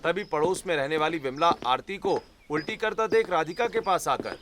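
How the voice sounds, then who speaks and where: Guy narrating a hindi story, voice on the higher side, some noise behind it. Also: expressive, moderate pace, a bit bright.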